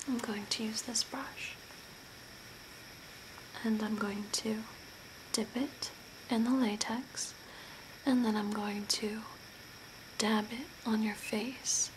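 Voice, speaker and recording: Slow whispering ASMR woman. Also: on the high side, fairly even intonation. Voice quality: breathy, mostly unvoiced whisper. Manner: soft, deliberate, soothing with short phrases. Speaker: ASMR performer playing a zombie makeup artist. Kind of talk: whispered ASMR role play. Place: small curtained room at home. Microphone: close to the mic at a desk. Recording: clear background noise, room ambience.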